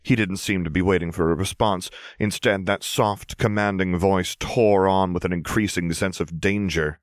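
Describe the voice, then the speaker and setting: A man reading a scripted story as narrator, in a measured, expressive way. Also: deep voice, no room sound, clean studio sound. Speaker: audiobook narrator.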